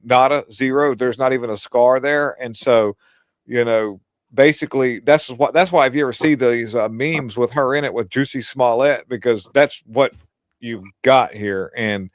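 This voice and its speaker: A man's voice: mid-range voice, clean tone, no room sound, studio quality. A man hosting a casual political livestream, talking bluntly and crudely with lots of emphasis. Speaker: livestream host.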